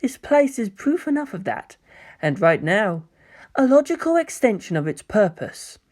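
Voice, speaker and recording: A sly young male villain, part angel, speaks through a man's narration in a coy, gentle and mocking way. His lines swing widely in pitch. He muses theatrically, as in a dramatic story reading. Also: sing-song, normal speed. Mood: smooth explaining.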